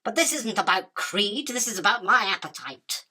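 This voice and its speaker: Bright-voiced haughty spider queen , acted by a woman. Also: medium pitch, lively intonation, normal speed, slightly rough, heavily acted. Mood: irritated, superior. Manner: imperious, dismissive, self-justifying. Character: haughty, self-absorbed, hungry female spider queen. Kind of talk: theatrical radio drama acting.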